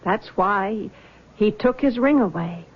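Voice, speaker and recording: An actress playing a troubled, grieving young woman in a vintage radio drama, speaking softly and hesitantly. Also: mid-range voice, lively intonation, takes it slowly, slightly rough. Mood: resolved. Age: young adult. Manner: soft, hesitant. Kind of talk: 1970s radio drama acting. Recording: dull old radio broadcast with faint hiss.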